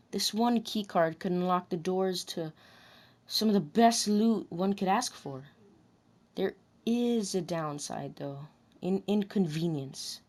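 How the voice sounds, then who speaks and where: A woman with a slightly low voice narrates video game commentary. She reads it as scripted voice-over, deliberate in her delivery, and tends to restart and reword her sentences. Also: darkish tone. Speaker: narrator recording voice lines about a video game.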